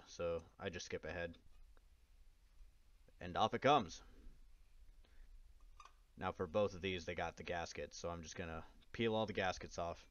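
A man with a low voice talks through a do-it-yourself job in a casual voice-over. He drops in wry remarks at his own expense. The sound is dry and somewhat dull, as from a close, basic mic. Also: no room sound. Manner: wry, self-deprecating asides. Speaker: DIY how-to host.

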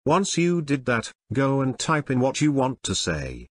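A synthetic text-to-speech man's voice, giving scripted step-by-step tutorial narration in a measured, instructional way. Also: mid-range voice, wildly swooping pitch, dry recording, studio quality.

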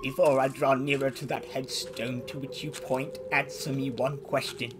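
A man's voice: fairly high pitch, very noisy, natural voice. A man playing a repentant old miser in a radio drama, theatrical and exclamatory in his pleas and vows.

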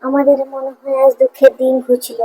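A woman voicing a caring, excitable village housewife in a cartoon fairy tale, with an expressive, fussing manner. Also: high voice, fairly quick, husky, poor audio. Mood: curious, grateful.

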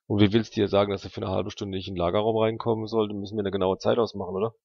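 Male tabletop role-player, gravelly, casual slang, halting delivery, dry and slightly dull recording. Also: lowish voice, level tone, a little mellow, no echo. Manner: halting, thinking aloud. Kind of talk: casual slangy in-character dialogue.

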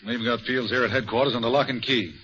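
A bluff, sure-of-himself police inspector, played by a man in an old radio drama, talking briskly with a mid-range voice. The broadcast sounds dull and lo-fi. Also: medium pitch, fairly even intonation, sharp tone, close to an everyday voice. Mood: confident. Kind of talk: 1940s radio acting.

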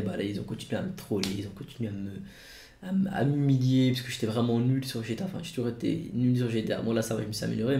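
A man's voice: mid-range voice, gravelly, a little mellow, echoey room. A man in his twenties talking casually to camera from his home studio, rambling with many fillers and restarts. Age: 20s. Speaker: YouTuber.

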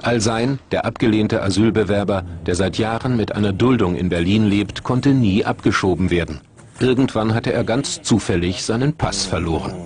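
Low-voiced male documentary narrator. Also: moderate pace, a little mellow, a little hiss or hum. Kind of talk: scripted narration.

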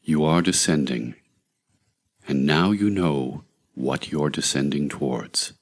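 A man narrating a scripted guided meditation in a measured, soothing, deliberate way, with long pauses. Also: a bass voice.

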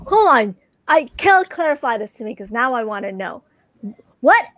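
A woman in her thirties hosting a casual livestream, chatting in a rambling, animated way and repeating herself for emphasis. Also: on the high side, moderate pace, no echo. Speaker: podcast host. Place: small room full of collectibles, indoors. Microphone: close desk microphone.

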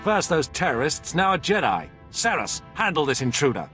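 An actor playing a haughty, panicky Imperial official with a sneering, indignant manner in a video game. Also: male voice, a little high, big pitch swings, normal speed, pushed and bright. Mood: sneering command. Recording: music playing behind the voice.

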